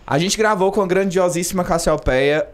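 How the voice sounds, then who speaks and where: A man's voice: high voice, moderate pace. A man hosting a casual podcast, joking and laughing often as he chats with his co-hosts. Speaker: podcast host.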